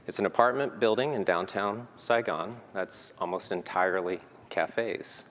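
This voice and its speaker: A man's voice: fairly low pitch, unhurried, a bit bright. A man giving a prepared conference talk, earnest and peppered with "um" fillers. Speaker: conference speaker. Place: conference hall. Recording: reverberant hall, faint noise.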